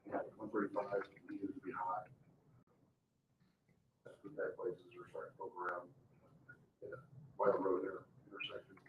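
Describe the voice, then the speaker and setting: Low-voiced man giving an informal report. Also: monotone, moderate pace, long pauses, highs cut off. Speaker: town development director giving report. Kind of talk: informal spoken report at public meeting. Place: indoor public meeting room. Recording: echoey room, distant mic, faint noise.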